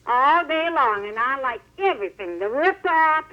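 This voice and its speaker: Muffled phone sound, high-pitched woman, radio caller, casual listener chat. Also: expressive, normal speed. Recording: muffled telephone line on radio.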